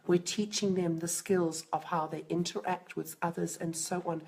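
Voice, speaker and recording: A middle-aged woman, a parenting coach, talking live to viewers on a stream, hoarse and croaky from a cold, chatty and reflective. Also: on the low side.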